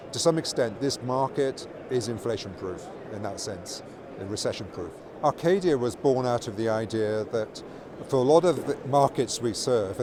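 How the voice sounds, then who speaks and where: Middle aged guy at a noisy trade show explaining stuff in an interview, normal pitch, keeps repeating words. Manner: explanatory, often repeats words and restarts phrases. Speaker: company product executive being interviewed. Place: busy trade show exhibition hall. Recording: loud trade show floor noise. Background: clear ambient show floor noise.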